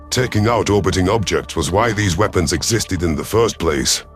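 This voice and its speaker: A man narrating a science fiction story in the first person, in a dramatic scripted reading style. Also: low-pitched. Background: background music.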